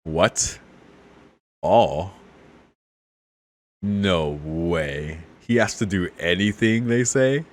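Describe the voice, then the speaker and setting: A man's voice: low-pitched, extremely slow, long pauses. A man streaming a visual novel, reading it aloud and reacting in a casual, amused, informal way. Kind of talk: casual let's play commentary with read-aloud passages.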